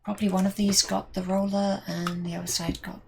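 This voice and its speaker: A woman's voice: medium pitch, little pitch movement, brisk, gravelly. A woman with a Welsh accent, chatting casually with viewers while building cosplay armour. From Wales. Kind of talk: casual build stream chat. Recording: noisy home workshop recording.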